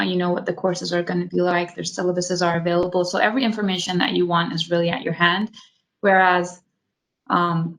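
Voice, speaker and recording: A woman, a doctoral graduate, speaking relaxed and unscripted as a panelist on a video call. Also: on the low side. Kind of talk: panel remarks.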